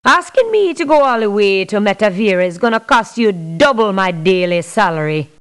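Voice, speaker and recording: A woman playing a tough, principled mercenary for hire in a video game, speaking in a blunt, firm, measured way. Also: medium pitch, very animated, smooth, close to an everyday voice. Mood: firm, dismissive. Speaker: mercenary character in a strategy game. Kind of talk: video game voice clips, scripted refusals and barks.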